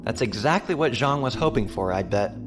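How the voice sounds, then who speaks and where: A man's voice: mid-range voice, expressive, fairly quick, lightly acted. A man playing a wry, seasoned mercenary spaceship captain in a science fiction audio drama, teasing and probing. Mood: thoughtful. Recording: music behind the voice.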